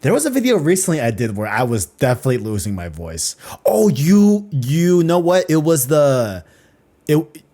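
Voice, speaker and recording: A man's voice: on the high side, big pitch swings, normal speed, warm tone. A man hosting a casual podcast, rambling and often repeating "you know what I'm saying.". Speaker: podcast host.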